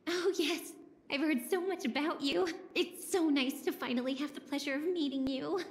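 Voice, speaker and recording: A woman's voice: high-pitched, normal speed. An actress voicing a sweet, eager young female secretary in a video game, with a gracious manner. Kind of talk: scripted video game dialogue.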